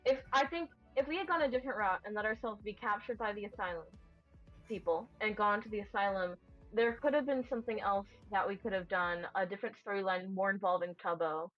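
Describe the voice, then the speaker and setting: A woman's voice: medium pitch, brisk. A woman player casually musing aloud with friends about a tabletop game, somewhat hesitantly. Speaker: tabletop role-play player. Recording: dull lo-fi mic, music behind.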